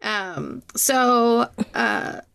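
A woman's voice: fairly high pitch, animated, extremely slow, gravelly, a bit bright, smooth. A woman hosting a podcast interview in a conversational way, with wry, dry humour.

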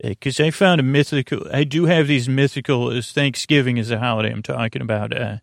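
An American man hosts a bedtime podcast in a creaky, gravelly voice at a middling pitch. He wanders through his monologue, halting and doubling back on his own sentences. The sound is close and dry. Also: fairly even intonation, dry recording. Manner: meandering, halting, self-interrupting. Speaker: podcast host. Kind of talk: rambling sleep podcast monologue.

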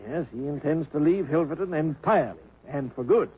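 A man's voice: on the high side, lively intonation, fairly quick, slightly rough. An actor playing a sly, genial older grandfather who schemes to help his granddaughter, in a 1950s radio drama. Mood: confiding. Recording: lo-fi old radio broadcast recording.

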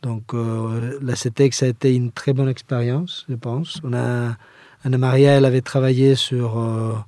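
A man with a Spanish accent talks through his answers in an interview. His tone is soft-edged and mellow, at a middling pitch. The recording is very dry, with hardly any room sound. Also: little pitch movement, moderate pace, dry recording. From Valencia area, Spain. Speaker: interviewee, engineer and architecture firm co-founder.

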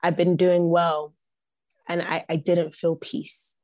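A woman's voice: lowish voice, little pitch movement, slow pace, darkish tone, no echo. A young woman, a podcast guest whose first language is not English, speaking earnestly and repeating phrases for emphasis. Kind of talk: reflective podcast conversation.

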